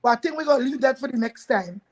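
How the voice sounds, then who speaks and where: A woman's voice: high-pitched, animated, quick pace, very noisy. A woman hosting a live talk show, chatty, addressing viewers and repeating words as she goes. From Trinidad.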